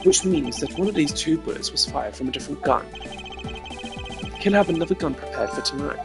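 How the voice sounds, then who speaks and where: A cool and exacting prosecutor, voiced by a man streaming a game, lays out his deductions in precise, formal sentences. His voice sits a little high and carries a gravelly, crackling edge. Game music plays clearly behind him. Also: lively intonation, fairly quick. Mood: composed. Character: cool, composed male prosecutor, sharp and formal.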